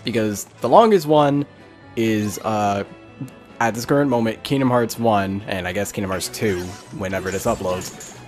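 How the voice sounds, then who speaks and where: A man's voice: fairly low pitch, moderate pace. A man doing casual Let's Play commentary, rambling and thinking aloud as he plays. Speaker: gaming video host. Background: faint game music.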